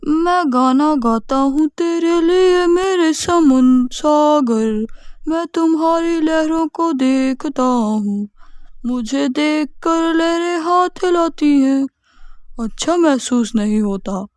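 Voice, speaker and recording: A woman's voice: high-pitched, takes it slowly, darkish tone, no echo, clean studio sound, pitched above the performer's own voice. A woman voicing a poor, lonely, dreamy young man who plays guitar, speaking simply and earnestly in a storybook. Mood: wistful.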